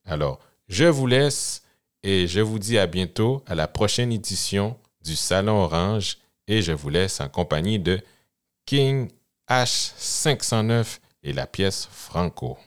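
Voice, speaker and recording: Slow, low-voiced radio host. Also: male voice, expressive. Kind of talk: scripted radio presentation.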